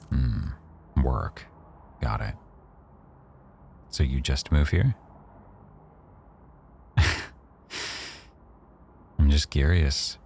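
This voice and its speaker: A man playing a rough-edged mafia boss who owns a cafe, teasing, probing and quietly threatening, in a boyfriend ASMR roleplay. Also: very deep voice, slight background noise, heavily acted. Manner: teasing, probing, smugly self-assured.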